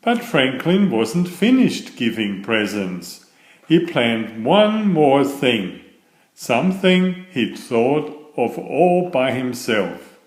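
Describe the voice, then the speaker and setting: A man reads a children's picture book aloud at an unhurried pace. His pitch moves around a great deal as he narrates. The recording has a noticeable room echo. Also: very animated. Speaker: storyteller and children's author. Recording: roomy echo.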